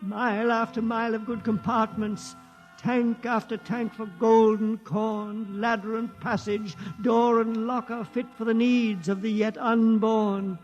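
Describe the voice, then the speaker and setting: A venerable old witness who guards his ship's legend. He intones each word slowly and solemnly, in a high voice. The feel is that of a 1950s radio drama. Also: level tone, dull audio, music in the background. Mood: solemn chanting. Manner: slow, intoning recitation. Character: aged male keeper of sacred ship legend, solemn.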